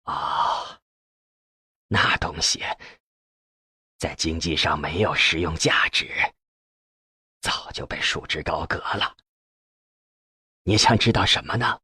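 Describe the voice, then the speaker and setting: A man voicing an ailing elderly professor in an audiobook, with a weak, breathy, halting voice. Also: rumbling low, bright, forceful tone, no echo, clean studio sound, voice pushed down, heavily acted. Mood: weary. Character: ailing elderly male professor, frail, eager about research.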